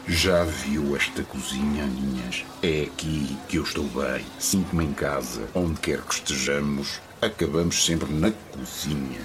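Expansive rambling guest, extremely deep voice, radio soap, noisy ambience behind. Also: male voice, a little slow. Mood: musing. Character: jovial rambling male party guest fond of kitchens. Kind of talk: radio soap opera acting.

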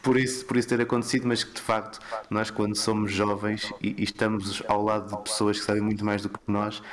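A man's voice: lowish voice, little pitch movement, brisk. A man, a podcast guest speaking reflectively and self-deprecatingly, stammering on restarts. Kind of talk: reflective conversation.

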